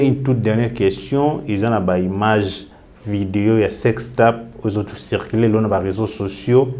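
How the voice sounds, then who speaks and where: A man's voice: mid-range voice, darkish tone. A man interviewing a politician, asking long, winding questions.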